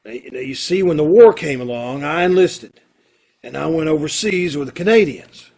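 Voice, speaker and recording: A man acting a kindly, earnest condemned prisoner in an old-time radio play, with hesitant, folksy phrasing and a gravelly, husky voice. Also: a little high, expressive, darkish tone, heavily acted. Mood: excited, urgent. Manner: hesitant, halting, folksy and informal.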